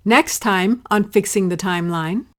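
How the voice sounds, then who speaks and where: A woman's voice: mid-range voice, moderate pace, fairly bright tone. A woman working as an announcer, reading a scripted promotional message.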